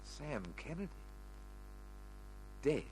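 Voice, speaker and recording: A man's voice: on the high side, very animated, slow pace, darkish tone. An actor playing a wary, controlled male crime boss who questions guardedly, in an old-time radio crime drama. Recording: humming, noisy old radio recording.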